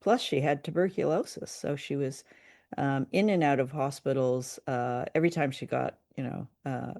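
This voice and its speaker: A woman's voice: very deep voice, moderate pace, gravelly, darkish tone, no echo, clean studio sound. A woman co-hosting a casual discussion of film and radio drama, speaking in a conversational, appreciative way. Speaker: podcast co-host.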